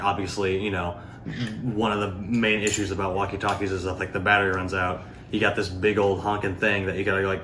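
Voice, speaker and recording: A man's voice: fairly low pitch, fairly bright tone. A man giving a casual, unscripted product demonstration in a rambling, informal way, peppered with filler words. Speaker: product reviewer. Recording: echoey room with faint background noise.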